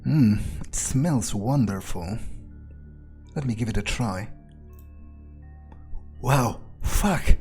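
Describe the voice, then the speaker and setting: A man's voice: mid-range voice, expressive, drawn-out words, pauses often, a little mellow. Sweary male gamer playing in character. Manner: sweary, blunt, informal. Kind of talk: in-character video game playthrough, thinking aloud. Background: faint game music.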